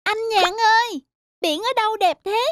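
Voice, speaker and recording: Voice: very high-pitched, measured pace, fairly bright tone. An actor voicing an eager, naive young fish child in a children's cartoon, wide-eyed and pleading in manner. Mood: curious, eager. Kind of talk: animated children's cartoon dialogue. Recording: children's music behind dialogue.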